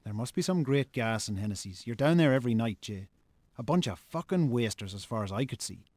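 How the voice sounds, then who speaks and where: An actor playing a reproachful, sensible Irish brother in a naturalistic radio drama, speaking in a colloquial, chiding way. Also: male voice, mid-range voice, expressive, a little fast, a little mellow, no echo, natural voice. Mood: reproachful, scornful.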